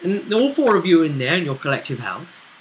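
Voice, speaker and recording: A British man speaking as a game master, recapping a tabletop role-playing story in a halting, conversational way. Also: a little high, big pitch swings, slight background noise, rough recording.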